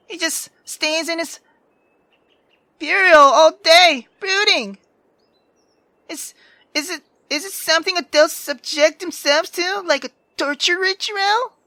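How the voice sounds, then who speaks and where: A woman's voice: very high voice, bright, forceful tone, dry recording, pitched above the performer's own voice, heavily acted. A woman voicing a brash, sarcastic sixteen-year-old girl who is theatrical, teasing and foul-mouthed in a visual novel read-aloud.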